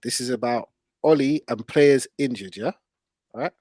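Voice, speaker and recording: A man's voice: medium pitch, normal speed, a little mellow, no room sound, studio quality. A man hosting casual football debate, emphatic and slangy, repeating himself for stress. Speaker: football podcast host.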